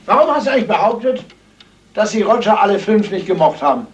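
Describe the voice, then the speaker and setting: An actor playing a sleuth's loyal, doubting confidant in a 1970s German radio crime drama. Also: male voice, high-pitched, big pitch swings, fast talker. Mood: protesting. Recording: slightly noisy old radio recording.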